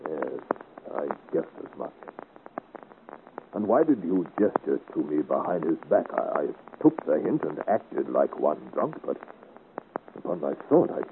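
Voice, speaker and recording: A man's voice: extremely low pitch, big pitch swings, unhurried, vocal fry, full character voice. A man acting a gallant, indignant young French soldier in a formal, declamatory 1950s radio serial style. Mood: puzzled, questioning. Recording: narrow-band, hissy 1950s radio recording.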